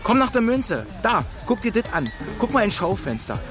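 A man's voice: high-pitched, big pitch swings, moderate pace. An actor playing a bitterly mocking Berlin working man in a radio drama, with a local accent and a sneering, colloquial delivery. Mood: scornful. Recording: music behind the dialogue.

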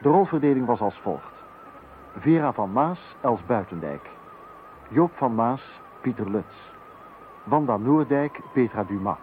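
A man's voice: medium pitch, narrow, tinny audio, a little hiss or hum. A man announcing the closing credits of a radio play in a scripted read. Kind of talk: scripted announcement, reading cast list. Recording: thin radio recording with music.

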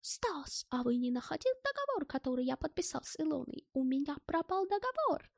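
Женщина изображает жалобного пожилого немца с высоким голосом. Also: female voice, sits high, very animated, vocal fry, dry recording, studio quality, pitched above the performer's own voice, exaggerated voice. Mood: agitated, bewildered. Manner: plaintive, emotional, halting foreign phrasing.

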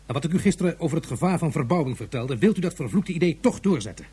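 An actor playing an indignant scientist who protests forcefully to a superior in a science fiction radio drama. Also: male voice, fairly high pitch, animated, quick pace, husky. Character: indignant male scientist defying his superior.

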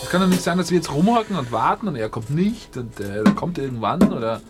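An actor playing a grumbling, blunt band musician in naturalistic radio play dialogue. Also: male voice, fairly high pitch, expressive, quick pace, loud music behind the voice, natural voice. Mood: fed up. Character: grumbling, impatient male amateur band musician. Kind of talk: naturalistic, improvised-sounding radio drama dialogue.

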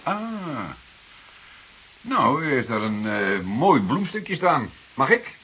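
An actor playing a shrewd older police detective in a Dutch radio drama, questioning deliberately with an insinuating irony. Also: male voice, medium pitch, big pitch swings, pushed and bright, full character voice. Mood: slyly admiring. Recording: hissy radio play recording.

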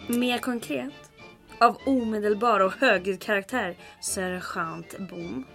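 A woman's voice: medium pitch, vocal fry, a bit bright, faint music behind. An actress playing a commanding, dryly witty woman captain of a resistance group in old-time radio drama style. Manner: brisk, commanding, dryly teasing. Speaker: lead character, captain.

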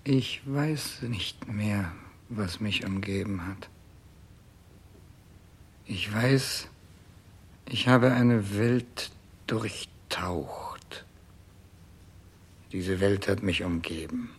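An actor playing a dying, bewildered, self-absorbed king in a 1960s German radio play, declaiming in a halting, incantatory way. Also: on the low side, husky, exaggerated voice. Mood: dazed, fading.

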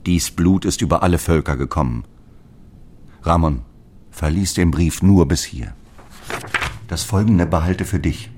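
Very deep-voiced solemn apostle. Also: male voice, unhurried, long pauses, darkish tone. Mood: grave, urgent. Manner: grave, incantatory, declamatory. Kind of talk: literary radio drama monologue.

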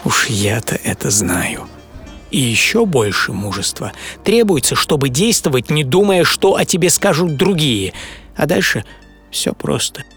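An actor playing an obsessive middle-aged entrepreneur in a dramatic first-person radio monologue, speaking theatrically with pauses and half-whispered phrases. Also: male voice, mid-range voice, sing-song, fairly bright tone, breathy edge, heavily acted. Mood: proud, impassioned. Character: obsessive middle-aged entrepreneur and treasure hunter recalling boyhood. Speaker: author and performer of a radio programme. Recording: music under studio voice.

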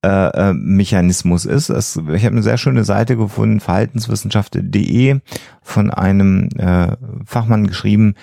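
Low, creaky-voiced man explaining carefully. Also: normal speed, dark tone, no room sound, studio quality. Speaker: podcast host explaining psychology. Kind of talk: explanatory podcast talk.

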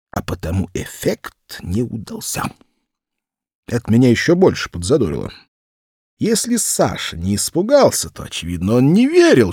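A man narrating a classic story for children as an audiobook, expressive and theatrical, with wry, deliberate phrasing. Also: on the low side, breathy edge, no room sound, clean studio sound. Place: dry recording studio.

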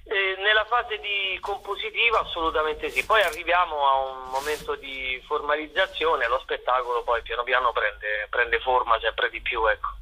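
A man's voice: medium pitch, fairly even intonation, moderate pace, few pauses, pushed and bright, poor audio. A man being interviewed casually on radio as an actor and director, playful and ironic, often restarting his phrases.

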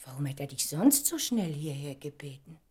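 A woman's voice: sits low, sing-song, moderate pace, warm tone, breathy edge, full character voice. An actress playing a poised, probing society lady in a German radio play, politely cool and pointed in her replies.

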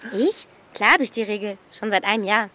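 A woman's voice: medium pitch, animated, a little fast, sharp tone. A woman playing a bold, streetwise thirteen-year-old girl with a blunt, commanding manner in a radio play. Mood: teasing, brash. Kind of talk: German radio play acting.

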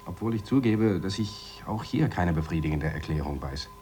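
An actor playing a skeptical scientist colleague in a 1970s German radio drama, speaking in a dry, doubting, terse way. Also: male voice, deep voice, animated, moderate pace, mellow, a little hiss or hum.

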